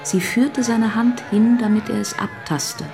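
Eine Frau erzählt als Sprecherin eines literarischen Hörspiels, gemessen und bedacht. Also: female voice, on the high side, fairly even intonation, measured pace, music in the background, a crowd in the background. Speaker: narrator. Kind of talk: literary narration in a radio play.

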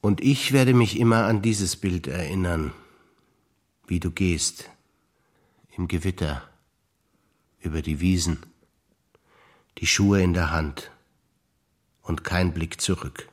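An older man, a stage actor reciting memories in a rhythmic, deliberate listing manner with pauses. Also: low-pitched.

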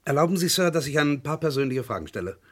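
An actor playing a polite, probing magazine reporter in a 1970s German radio crime drama, measured and slightly hesitant. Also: male voice, on the high side, expressive.

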